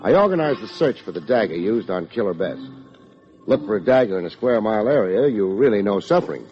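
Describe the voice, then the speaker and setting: An actor playing a wry, wordy hard-boiled private detective with dry sardonic delivery, in old-time radio drama style. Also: male voice, lowish voice, lively intonation, brisk, lightly acted. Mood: wry narration. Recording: muffled old radio recording with music.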